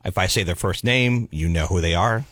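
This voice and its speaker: A man speaking as a throat and voice doctor, giving calm, measured, clinical explanations in an interview. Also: sits low, no echo, clean studio sound. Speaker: otolaryngologist guest.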